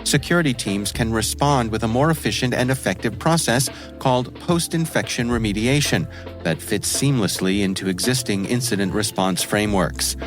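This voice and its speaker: A man's voice: on the low side, moderate pace. A man delivering a scripted sponsor read as an announcer. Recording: music bed behind voice. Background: music.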